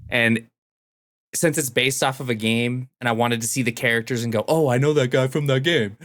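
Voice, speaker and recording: A man's voice: mid-range voice, steady tone, normal speed, fairly bright tone, no room sound, studio quality. A man co-hosting a podcast, chatting casually in an informal, slangy way. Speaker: podcast co-host. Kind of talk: casual chat.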